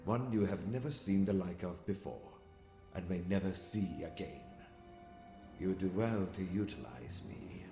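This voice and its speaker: A man playing a calm, exiled alien warrior in an audio comic drama, speaking formally and precisely, with courteous, calculating composure. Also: sits low, gravelly, full character voice. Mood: composed, confident. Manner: formal, measured, precise phrasing. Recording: music behind the voice.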